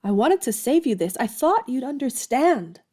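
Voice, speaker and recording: Actress as spirited young woman, highish, soft-toned, earnest, candid, period audio drama. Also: big pitch swings, normal speed. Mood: pained, pleading.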